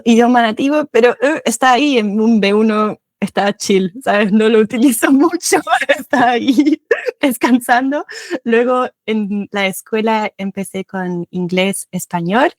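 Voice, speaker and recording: A woman's voice: a little high, measured pace, darkish tone, no room sound, studio quality. German woman chatting with laughs. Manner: chatty, laughs often, self-correcting. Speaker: guest. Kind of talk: casual interview chat.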